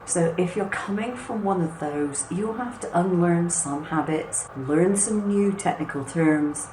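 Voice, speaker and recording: A hoarse, husky woman with a low voice gives a reassuring, step-by-step tutorial. She talks in an easy, chatty way. The room rings with echo and has a faint background hiss. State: hoarse and husky after a cough. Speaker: craft channel host. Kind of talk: hands-on product walkthrough.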